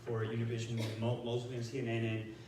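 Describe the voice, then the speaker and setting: A man from Venezuela, a Spanish speaker, moderating a candidate forum in English with a Venezuelan accent, speaking haltingly with restarts. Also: medium pitch, monotone, dark tone, a little hiss or hum. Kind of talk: explaining forum rules. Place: large echoey hall. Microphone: distant room mic. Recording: echoey hall, voice far off.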